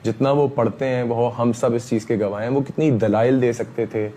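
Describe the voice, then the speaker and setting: Man, emphatic, mellow voice, faint room noise. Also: mid-range voice, fairly even intonation, moderate pace. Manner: emphatic, repetitive, frequent fillers. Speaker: interview guest. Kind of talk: heated interview talk.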